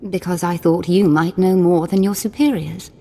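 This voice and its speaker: A woman's voice: fairly low pitch, fairly even intonation, moderate pace, dark tone, big-room sound, natural voice. A woman voicing a playful, cruel young female Sith lord in a video game, with a mocking, theatrical, sing-song delivery. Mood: calm.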